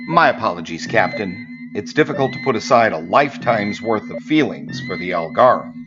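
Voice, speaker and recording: A man's voice: fairly low pitch, very animated, moderate pace. Haughty, bitter alien magistrate played by a man. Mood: disdainful. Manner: stiff, formal, disdainful. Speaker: planetary magistrate in audio drama. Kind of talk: dramatic sci-fi audio drama acting. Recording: dull audio with music behind.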